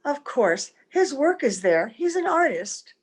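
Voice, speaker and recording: A woman's voice: high voice, big pitch swings, unhurried. A woman playing a youthful, sweet, earnest wife in old-time radio drama, with a theatrical delivery. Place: at home, recorded over video call.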